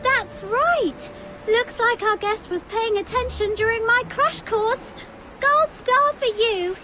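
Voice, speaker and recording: Tiny, very high-pitched perky guide. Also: female voice, slow. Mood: proud, cheerful. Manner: bubbly, exclamatory, playful. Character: perky small high-pitched female guide and stall merchant. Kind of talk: video game character acting.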